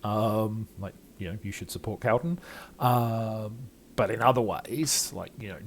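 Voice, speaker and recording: A man's voice: lowish voice, measured pace, a little hiss or hum. An older man hosting a game playthrough with casual, rambling, self-deprecating commentary. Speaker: gaming video host.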